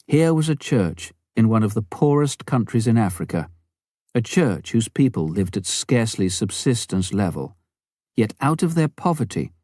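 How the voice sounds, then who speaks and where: Low-voiced man reading a memoir aloud. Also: warm tone, no room sound, clean studio sound. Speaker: audiobook narrator. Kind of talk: scripted narration of a missionary memoir.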